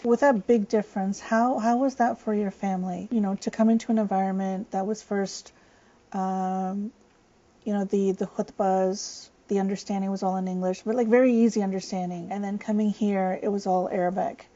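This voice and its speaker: A woman's voice: mid-range voice, steady tone, normal speed, warm tone. A woman co-hosting an interview show, asking questions in a polite, slightly scripted way. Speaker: co-host. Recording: muffled lo-fi recording.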